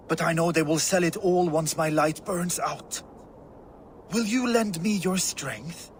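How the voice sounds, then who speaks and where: An actor playing an elderly, weary and proud Norse warrior who is ready to die, in a video game. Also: male voice, sits high, slight background noise. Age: older. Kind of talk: video game dialogue acting.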